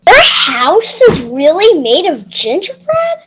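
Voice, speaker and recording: A woman's voice: extremely high pitch, wildly swooping pitch, slow pace, noisy background, heavily acted. A woman playing a theatrical, easily shocked family member in a readers theater fairy tale, exclamatory.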